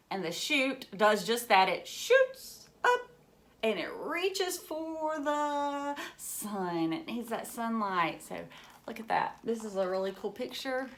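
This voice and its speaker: A woman reads a children's book aloud at a slow, unhurried pace, in a mid-range voice. She sounds like a teacher, full of wonder, explaining things simply and chatting to young listeners as she goes. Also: medium pitch, a little hiss or hum. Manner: enthusiastic, marveling, explains simply with questions to listeners.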